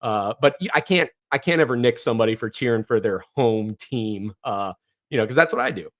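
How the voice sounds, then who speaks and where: Hoarse man hosting a casual livestream. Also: medium pitch, a little fast, a bit bright. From northeastern Ohio. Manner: rambling, many fillers and restarts. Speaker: livestream host. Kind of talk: casual livestream chat reading comments.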